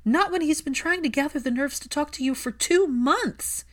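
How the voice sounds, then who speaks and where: An impassioned young woman from an audiobook, played by a female reader, pitched well up and forceful in delivery. She is fiercely loving, defiant and wounded, and leans hard on her words. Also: normal speed, natural voice. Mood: furious. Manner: emphatic, impassioned.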